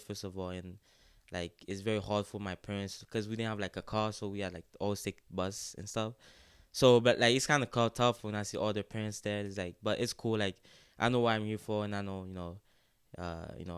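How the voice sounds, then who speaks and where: A young man from Haiti, a student-athlete guest, speaking in a relaxed, casual way and often saying "like" and "yes, sir" in an interview. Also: lowish voice, clear, resonant tone, no echo, studio quality. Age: young adult.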